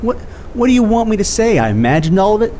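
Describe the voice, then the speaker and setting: A man's voice: high voice, sing-song, fast, dark tone, slight background noise. A man playing a skeptical detective partner. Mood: questioning. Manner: skeptical, questioning. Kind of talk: audio drama acting.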